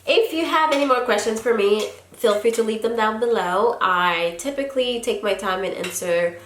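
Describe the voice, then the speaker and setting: A woman's voice: a little high, roomy sound. A young woman from Haiti chatting casually to camera as a YouTuber, answering viewer questions with frequent laughs. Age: young adult. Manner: chatty, laughs often, drawn-out "girl" asides. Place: small indoor studio in front of a plain backdrop.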